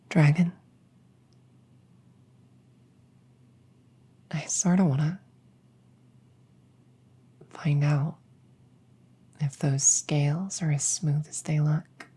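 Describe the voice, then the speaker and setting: Breathy, hushed young princess, acted by a woman. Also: low voice, fairly even intonation, heavily acted. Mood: coy, teasing. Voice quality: soft, breathy, often whispering. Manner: slow, hushed, deliberate, coy pauses. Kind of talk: intimate roleplay audio drama, ASMR-like.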